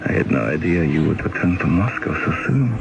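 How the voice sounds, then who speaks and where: A guilty slow-talking guy, super deep gravelly voice, loud music under it. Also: animated. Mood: remorseful. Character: guilt-ridden man who shot a convict. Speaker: government man. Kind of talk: 1970s radio drama acting. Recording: old radio play with loud music behind.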